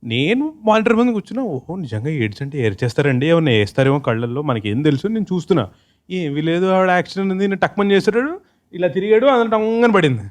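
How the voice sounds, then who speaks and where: A man's voice: a little high, lively intonation, racing. A man with a Telugu accent telling anecdotes casually in a group interview, mixing in English phrases. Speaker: film team member being interviewed.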